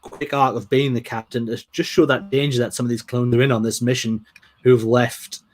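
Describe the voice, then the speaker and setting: A man co-hosting a casual podcast chat, recapping in a relaxed, steady way. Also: mid-range voice, moderate pace. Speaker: podcast co-host.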